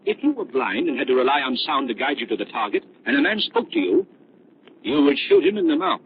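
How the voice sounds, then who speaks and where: Tinny-sounding, expressive gentleman detective. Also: medium pitch, creaky, breathy edge, full character voice. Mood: resolute. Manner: deliberate, dramatic, authoritative. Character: confident, astute gentleman detective, grave and deliberate. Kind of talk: 1930s radio drama acting. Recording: tinny, noisy 1930s radio recording.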